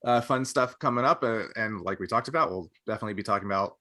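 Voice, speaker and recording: A man hosting a casual podcast discussion, thinking aloud in a halting way. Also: fairly low pitch, sharp tone. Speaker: podcast host.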